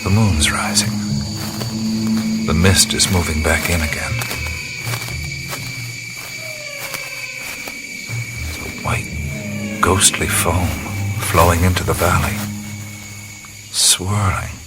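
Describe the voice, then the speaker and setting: An actor playing a laid-back, skeptical adventurer hero, talking in a dry, wry way. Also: male voice, sits low, huge pitch swings, slow, pauses often, heavily acted, poor audio. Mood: hushed. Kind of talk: radio play acting with inner monologue. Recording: studio radio play with music score.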